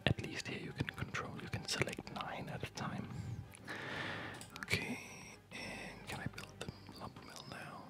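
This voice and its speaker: A person whispering ASMR gameplay commentary, hesitantly thinking aloud as the host of a gaming video. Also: moderate pace.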